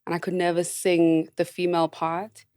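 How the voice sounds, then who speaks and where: Young female guest, deep and smooth, relaxed and giggly, dry studio. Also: moderate pace, no room sound. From South Africa. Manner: laid-back, laughs often, slangy. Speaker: podcast guest singer. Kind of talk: casual chat.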